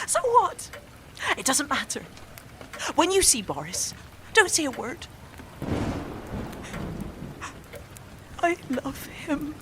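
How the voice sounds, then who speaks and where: A young woman acting in an audio drama as a fragile, fervent, exhausted actress, halting and repeating herself. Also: high voice, background noise. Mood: lovesick, intense. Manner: halting, repetitive, self-correcting, intense.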